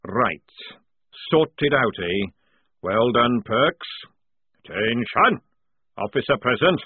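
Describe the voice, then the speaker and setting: A man reading an audiobook, voicing a gruff, shrewd old army sergeant who barks orders. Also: medium pitch, big pitch swings, moderate pace, no echo, voice pushed up. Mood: commanding. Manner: barking orders. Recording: dry, tinny phone-like sound.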